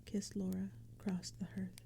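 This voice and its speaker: A woman whispers poetry in a hushed ASMR reading. Her breathy voice is very dark and mellow, with a flat, gentle melody and a slow, measured pace. A crackling fire and forest sounds play softly behind her. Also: medium pitch. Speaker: narrator and reader.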